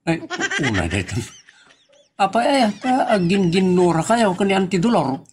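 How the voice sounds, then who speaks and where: A man's voice: high voice, big pitch swings, normal speed, a little hiss or hum. A man playing a teasing nephew in a comedy audio drama, talking in a playful way. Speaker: nephew teasing his aunt.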